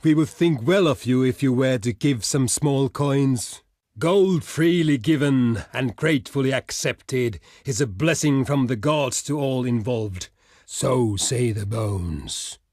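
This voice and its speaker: Proud, solemn barbarian envoy. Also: male voice, medium pitch, lively intonation, slow pace, a little mellow, no echo, studio quality. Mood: courteous, persuasive. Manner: formal, solemn, declamatory. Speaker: diplomatic messenger. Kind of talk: theatrical video game voice lines.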